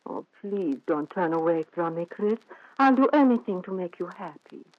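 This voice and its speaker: A woman's voice: on the low side, lively intonation, moderate pace, no room sound, lightly acted. A woman playing a jealous, possessive, menacing wife in an intense, theatrical 1940s radio thriller style. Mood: pleading. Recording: dull vintage 1940s radio recording.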